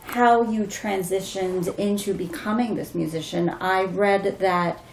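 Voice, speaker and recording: A woman's voice: mid-range voice, fairly even intonation, a little slow. Woman interviewer in an echoey room. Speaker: interviewer, talk show host. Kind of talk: conversational interview. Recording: echoey room with faint background noise.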